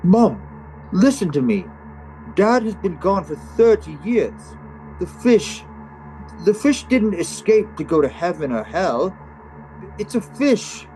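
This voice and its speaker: A grown son, wounded and intense, in a read-aloud family drama, played by a man. The voice pushes up in pitch over faint music. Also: big pitch swings, takes it slowly, long pauses, warm tone, exaggerated voice, rough recording. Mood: urgent, exasperated. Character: wounded adult son confronting his elderly mother. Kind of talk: live table read of a drama script.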